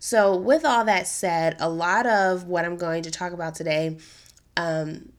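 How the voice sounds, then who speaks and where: Slightly raspy, chatty woman podcast host. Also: on the low side, steady tone, a little slow. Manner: chatty, upbeat, informal slang. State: raspy after allergies. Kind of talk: casual solo podcast talk.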